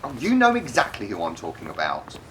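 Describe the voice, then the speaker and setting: An actor playing a jealous, stubborn boyfriend in a radio play, defensive and halting when pressed. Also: male voice, on the low side, wildly swooping pitch, a bit bright, slight background noise, poor audio. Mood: defensive, irritated. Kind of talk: naturalistic radio play acting.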